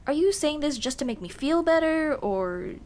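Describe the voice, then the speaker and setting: A woman's voice: high-pitched, animated, moderate pace, darkish tone. A young woman playing a shy, teasing tomboy childhood friend in love, in a casual, hesitant and intimate ASMR roleplay. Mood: nervous, teasing. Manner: playful, bashful, hesitant, casual dude-talk. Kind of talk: ASMR roleplay acting, intimate girlfriend scene.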